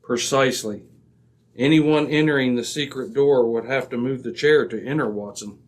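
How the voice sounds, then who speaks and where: An actor as a brilliant, impatient male detective in a radio mystery. His voice is mid-pitched and authoritative. He lays out his reasoning crisply, like a man used to being obeyed. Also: moderate pace, close to an everyday voice. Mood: assured, deductive. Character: commanding, razor-sharp, impatient male detective. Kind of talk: old-time radio drama acting.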